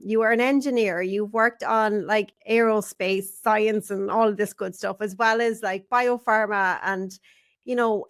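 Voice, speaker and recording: Host, female, effusive and upbeat, interviewing a guest on a studio mic. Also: medium pitch. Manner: bubbly, enthusiastic, laughs often. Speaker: podcast host. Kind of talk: conversational podcast interview. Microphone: studio microphone.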